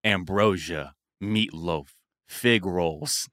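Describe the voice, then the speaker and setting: A man in his thirties co-hosting a podcast, riffing in a profane, animated way. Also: low voice, extremely slow, no echo, clean studio sound. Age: 30s. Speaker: podcast co-host. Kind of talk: casual comedic chat.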